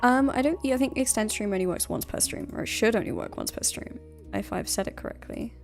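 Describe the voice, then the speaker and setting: British woman, relaxed stream co-host, creaky voice, soft music bed. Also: a little high, fast. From United Kingdom. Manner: chatty, laughs often, lots of ums. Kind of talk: casual livestream chat.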